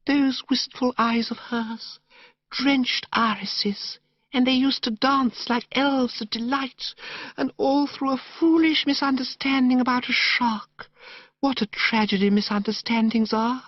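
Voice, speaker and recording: A man's voice: very high-pitched, fairly even intonation, dry recording, lo-fi sound, voice pushed up. A man doing a gushing, dreamy young woman. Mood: wistful. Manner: breathy, sighing, drawn-out gushing. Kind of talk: audiobook character voice.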